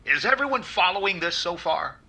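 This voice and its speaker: A man's voice: high voice, sing-song, a little fast, vocal fry, heavy background noise, heavily acted. A man playing a matter-of-fact comic defendant narrating his case in an old-time radio comedy. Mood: explanatory.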